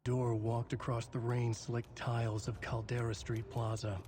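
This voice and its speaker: A brooding writer reading out his dark thriller prose in a video game, played by a male actor. The voice is medium in pitch, with measured, solemn, literary narration. Clear ambient noise runs behind it. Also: completely flat delivery, close to an everyday voice.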